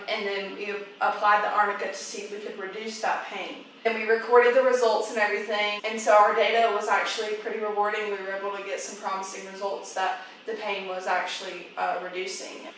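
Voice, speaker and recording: A woman's voice: mid-range voice, sharp tone. Young woman interviewed in very echoey room. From South Carolina, United States. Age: young adult. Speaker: interviewee, undergraduate research student. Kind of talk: casual interview answers. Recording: very echoey room, distant mic, faint noise.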